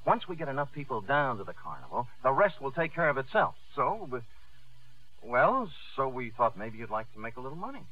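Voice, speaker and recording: An actor playing a brash, persuasive young promoter with excitable sales patter in a 1950s radio drama. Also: male voice, medium pitch, brisk. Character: eager young fast-talking showman promoter, brash and persuasive. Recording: tinny, band-limited old radio recording.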